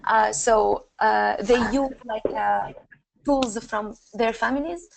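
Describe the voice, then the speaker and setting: A woman in her twenties from Syria with a Syrian Arabic accent, giving a personal account on a panel in halting, non-native English, often searching for words. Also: on the high side, creaky, low-quality recording. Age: 20s. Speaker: activist and panelist.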